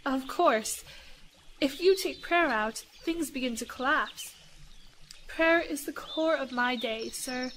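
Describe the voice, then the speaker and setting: A woman's voice: sits high, slow, background noise. A woman playing a devout, humble lady missionary in an audio drama, earnest and formal in her phrasing.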